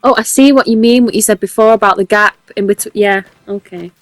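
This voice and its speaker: A woman with a Mancunian accent chips in with short replies and giggles beside the host. Her pitch is middling and the sound is close and dry. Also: fairly quick, no room sound.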